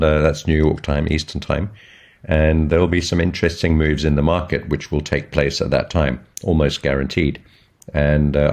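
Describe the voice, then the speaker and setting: A man giving informal, explanatory market commentary as a trading educator, in a measured, step-by-step way. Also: a bass voice. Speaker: trading educator, channel host.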